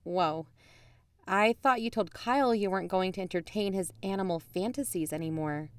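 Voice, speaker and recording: A woman's voice: mid-range voice, moderate pace, clear, resonant tone, lightly acted. A woman playing a pragmatic documentary-maker and concerned friend in an audio drama, conversational, frank and pressing. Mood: sceptical. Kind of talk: naturalistic audio drama acting.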